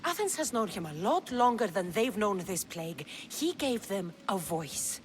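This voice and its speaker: A woman's voice: mid-range voice, sing-song, measured pace, a little hiss or hum. A woman playing a tough, blunt young Greek mercenary in a video game, with a frank, matter-of-fact delivery. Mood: steady, frank. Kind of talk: video game cutscene acting.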